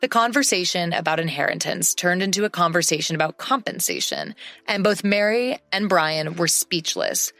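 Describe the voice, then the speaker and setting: A woman narrating a first-person story in a steady, matter-of-fact reading. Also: on the low side, moderate pace, creaky, no room sound, soft background music. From English-speaking country.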